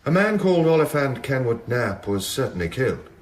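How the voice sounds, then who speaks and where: An actor playing a stubborn, guarded senior police detective, terse and evasive in a crime radio drama. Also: male voice, medium pitch, big pitch swings, a little fast, lightly acted. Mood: stonewalling. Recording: echoey room acoustic.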